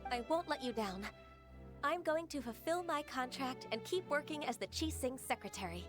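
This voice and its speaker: A woman voicing a gentle, dutiful, long-lived female secretary in a video game, soft, polite and earnest. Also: high voice, animated, moderate pace, music in the background. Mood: resolved.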